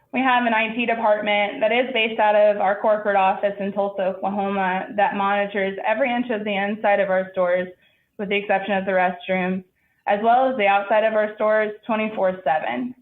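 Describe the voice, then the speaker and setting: A woman's voice: mid-range voice, fairly even intonation, fairly quick, phone-quality sound. Woman presenting in an echoey, thin-sounding room. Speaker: applicant representative for a store chain. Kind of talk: presentation and answers at a public hearing.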